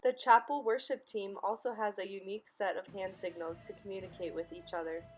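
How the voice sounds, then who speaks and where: A young woman narrating a student project in a measured, read-aloud way. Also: mid-range voice, fairly even intonation, over music, rough recording. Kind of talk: scripted narration.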